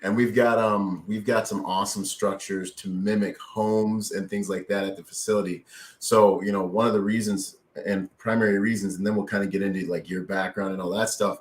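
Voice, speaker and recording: Low-voiced laid-back male podcast host. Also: flat delivery, fairly quick. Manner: laid-back slang, lots of agreeing fillers. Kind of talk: casual podcast interview.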